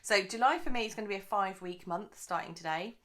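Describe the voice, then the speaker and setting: A woman hosting her own budgeting vlog, a little croaky from the early morning, chatting in a rambling way with lots of fillers. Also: medium pitch, fast talker, fairly bright tone. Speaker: budgeting channel host.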